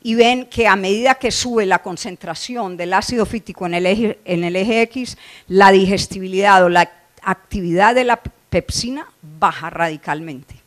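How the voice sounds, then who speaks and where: A woman's voice: medium pitch, normal speed. A middle-aged woman from Colombia with a Colombian accent, giving a conference lecture on poultry nutrition, explaining slides with frequent "eh" fillers and restarts. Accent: Colombian Spanish. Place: conference hall.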